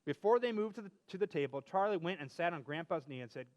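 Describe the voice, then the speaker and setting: A man's voice: high-pitched, animated, fast. A man hosting a children's storybook reading, acting out the dialogue and bantering casually as he goes. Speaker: host and storybook reader for children.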